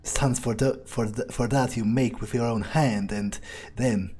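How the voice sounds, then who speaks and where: A cynical, coolly arrogant vampire as a male let's player plays him thinks aloud in a soft, dark-toned voice. The delivery is casual and hesitant, with a husky edge. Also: medium pitch, fairly even intonation, a little slow. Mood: dismissive, musing. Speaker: let's player role-playing in character. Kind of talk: in-character game playthrough commentary.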